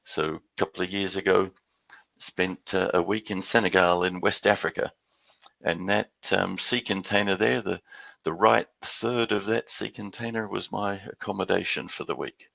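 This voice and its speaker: Older australian man, low gravelly voice, bright edge, dry close sound, halting webinar talk. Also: steady tone, dry recording. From Western Australia. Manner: halting, repeats words at starts of phrases. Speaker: guest speaker. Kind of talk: online webinar presentation.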